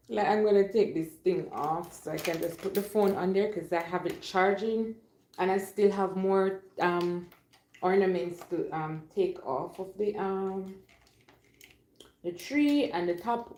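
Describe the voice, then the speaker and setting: A woman's voice: fairly low pitch, a little mellow. Playful lady rambling to her livestream, super casual, echoey room at home. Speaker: livestream host. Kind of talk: casual livestream chat to viewers.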